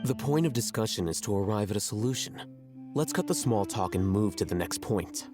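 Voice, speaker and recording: Man acting a cool young scholar, low voice, deadpan, condescending, blunt, video game dialogue, music underneath. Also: fairly quick, lightly acted. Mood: brisk, impatient.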